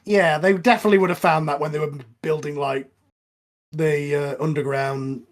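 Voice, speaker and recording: A man's voice: on the high side, steady tone, moderate pace. A man chatting casually in a film commentary, with a wry, nitpicking way of talking. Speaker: commentary track participant.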